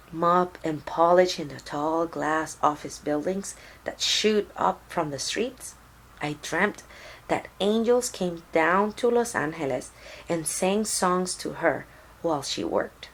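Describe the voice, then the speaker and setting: A woman narrates a children's story at an unhurried, slow pace. Her voice sits fairly low, and she tells it expressively, like a deliberate read-aloud. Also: a little hiss or hum, low-quality recording. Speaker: storybook reader.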